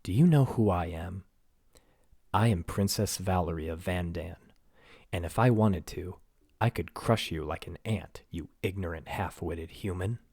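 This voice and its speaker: A man voicing a young giant princess who is proud and quick-tempered, his voice pushed up high as she stammers in indignation. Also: darkish tone, husky, no echo, studio quality, exaggerated voice. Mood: indignant. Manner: pitched far up, stammering, indignant.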